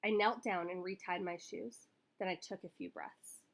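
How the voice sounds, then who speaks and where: A woman reading a children's picture book aloud in an expressive, animated way that acts out the story. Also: lowish voice, moderate pace. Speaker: school staff member reading aloud.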